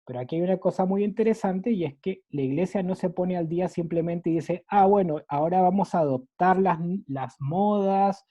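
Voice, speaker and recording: Man, peruvian, mixed latin american accent, earnest lecturing, fillers and restarts, husky, muffled recording. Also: high voice, moderate pace, few pauses. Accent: mixed Latin American Spanish, Peruvian base. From Peru. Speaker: catechism teacher. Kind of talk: catechesis lecture. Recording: dull lo-fi recording.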